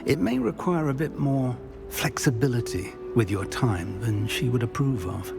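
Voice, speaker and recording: An actor playing a courteous older British wizard professor in a video game, speaking in a formal, measured, articulate way. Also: male voice, medium pitch, animated, gravelly, over music. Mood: courteous, instructive. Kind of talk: video game cutscene acting.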